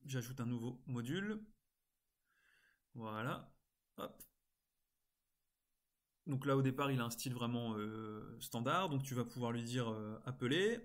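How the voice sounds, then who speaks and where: A man presenting an informal screen-recorded software tutorial, explaining step by step in a casual way with frequent little interjections. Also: medium pitch, expressive, measured pace, long pauses. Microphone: screen-recording voice-over.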